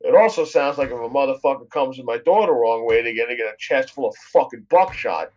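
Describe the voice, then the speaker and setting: A man talks loosely on a live stream, swearing freely as he circles his point. His voice sits at an ordinary middle pitch. The sound is dull and lo-fi, like a cheap headset over voice chat. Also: lively intonation, brisk, a little mellow. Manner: rambling and heavily profane.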